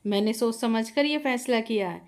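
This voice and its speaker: A woman's voice: on the high side, normal speed, lightly acted. A woman voicing a fed-up, blunt young daughter-in-law in a moral story, with an emphatic, complaining manner. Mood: defiant, fed up.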